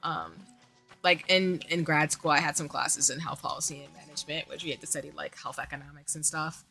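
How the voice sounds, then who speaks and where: A woman's voice: low-pitched, fairly even intonation, a little fast, vocal fry, sharp tone, faint music behind. A young adult woman streaming a game, rambling casually to her viewers with lots of asides and fillers. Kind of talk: casual chat with stream viewers while gaming.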